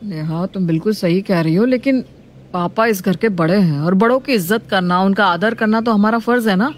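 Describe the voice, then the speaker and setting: Young husband, respectful son, calm explainer with a medium-pitched voice, put on by a woman. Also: moderate pace, natural voice. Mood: calm, persuading. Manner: patient, explaining.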